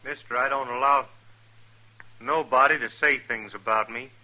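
An American actor voicing a proud, stubborn loner scout in a 1950s radio western, terse and defiant with folksy grammar. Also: male voice, medium pitch, steady tone, normal speed, sharp tone, rough recording.

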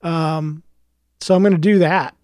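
A man with a slightly gravelly voice reading aloud from his own book, then chatting hesitantly with his listeners as a podcast host, with lots of fillers and restarts. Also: on the high side, fairly even intonation, unhurried, a little mellow, no room sound, clean studio sound. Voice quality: a little gravelly.

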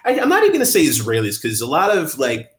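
A man hosting a casual podcast chat, wry and quick to laugh, talking in bursts. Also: a little high, huge pitch swings, reverberant. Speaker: podcast host.

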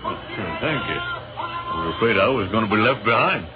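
An actor playing a polite, grateful American infantry captain with an American accent and a modest, plain-spoken manner in an old radio drama. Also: male voice, fairly low pitch, animated, fairly quick, loud music behind the voice, natural voice. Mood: tentative, polite. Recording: muffled, narrow-band old radio recording.

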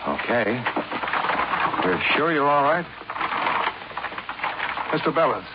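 A man's voice: medium pitch, expressive, takes it slowly. An American man playing a hard-boiled, inquisitive insurance investigator in 1950s radio drama style, clipped and matter-of-fact. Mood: uneasy. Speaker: lead actor and narrator of a detective series. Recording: noisy, narrow-band 1950s radio recording.

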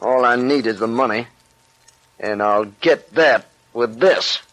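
Cocky young gangster. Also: male voice, medium pitch, slow, a bit bright, rough recording. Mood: boastful. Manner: boastful. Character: cocky young male gunman craving fame. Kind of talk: 1940s radio acting. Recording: vintage 1940s radio recording.

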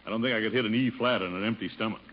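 An actor playing a pompous middle-aged uncle in a blustery, fruity voice, in 1950s radio comedy style. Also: male voice, fairly low pitch, close to an everyday voice. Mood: self-important. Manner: blustery, drawn-out hems and haws. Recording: dull vintage radio recording with noise.

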